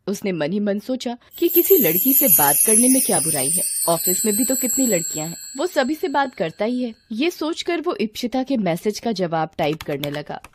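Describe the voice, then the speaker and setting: A woman's voice: mid-range voice, a little mellow. A woman narrating a scripted story in the third person as a storyteller.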